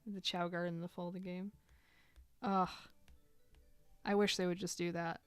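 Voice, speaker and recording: A woman's voice: on the low side, level tone, measured pace, smooth, no echo, soft background music. A woman in her thirties chatting casually while drawing on a live stream, rambling and filling gaps with "like". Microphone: desk microphone.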